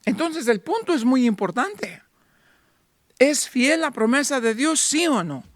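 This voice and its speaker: Man, pastor, sermon, high voice, dry recording, rhetorical questions. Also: big pitch swings, measured pace, no room sound. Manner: rhetorical questions, voicing doubting listeners.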